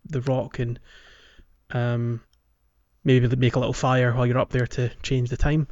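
A man's voice: mid-range voice, flat delivery, a little slow, a little mellow, highs cut off. A man chatting casually as a gaming podcast host, walking through things in a hesitant, explanatory way.

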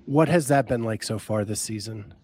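A man hosting a podcast, asking relaxed questions in a casual interview. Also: on the low side, normal speed, husky. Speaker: podcast host.

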